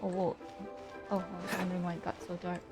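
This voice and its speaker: A woman live streaming a game, giving casual, giggly, expressive reactions out loud. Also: fairly low pitch, fast talker, over loud music.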